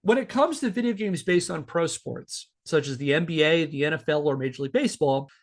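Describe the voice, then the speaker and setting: A man's voice: fairly high pitch, a little fast. A man hosting a podcast, explaining prepared material in an instructive way and spelling things out in careful lists. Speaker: podcast host and presenter.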